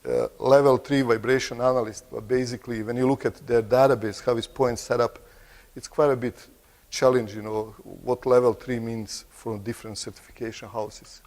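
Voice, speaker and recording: Gravelly-voiced Croatian man presenting in a hall. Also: mid-range voice, level tone, darkish tone. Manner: halting, restarts phrases, clears throat often. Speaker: conference presenter. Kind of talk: conference presentation. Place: large conference hall. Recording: long hall reverb.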